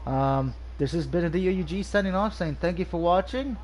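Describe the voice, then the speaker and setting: A man's voice: high voice, fairly quick, a little mellow, slight background noise. Nasal man reviewing a video game. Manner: rambling, frequent ums and restarts. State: nasal from allergies. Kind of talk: casual game review over gameplay.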